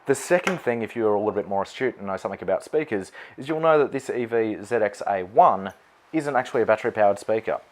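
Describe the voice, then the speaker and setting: Australian male presenter, fairly low register, unscripted, chatty product walkthrough. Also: lively intonation, fast, slight background noise. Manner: informal, conversational, mildly sweary. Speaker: wedding celebrant presenting his gear. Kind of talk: unscripted product explainer to camera.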